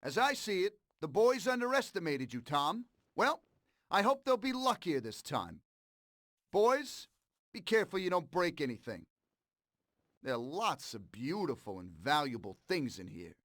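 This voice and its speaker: A man's voice: fairly high pitch, very animated, unhurried, fairly bright tone, no room sound, studio quality. An actor playing a smug, cold-blooded mobster in a game cutscene, mocking and sardonic as he menaces an old partner. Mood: taunting. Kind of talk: dramatic video game cutscene acting.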